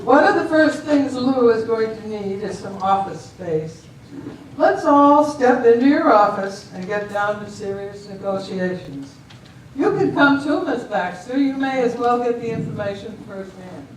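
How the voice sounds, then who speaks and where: Woman acting as composed female official, formal, measured, stage reading, distant mic, faint noise. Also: on the high side, lively intonation, heavy reverb. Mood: matter-of-fact. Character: composed, decisive female official judging a border dispute. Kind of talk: amateur readers theater stage reading.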